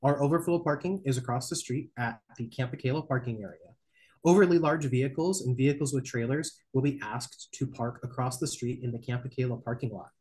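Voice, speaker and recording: A man's voice: mid-range voice, darkish tone. A young man speaking on a webcam call. He walks through camp rules from slides, reading each point and stumbling now and then on fillers. His voice sits in a plain middle range. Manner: reads slide points, spells out links slowly, some fillers. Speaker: camp staff presenter. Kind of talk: slideshow briefing. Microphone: webcam on video call.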